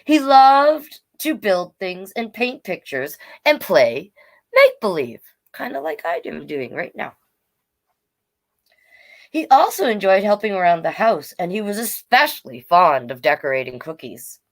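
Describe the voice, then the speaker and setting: A woman with a Canadian accent reading picture books aloud to children, playful and expressive, with chatty asides. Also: mid-range voice, unhurried, vocal fry. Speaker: drag performer hosting children's storytime.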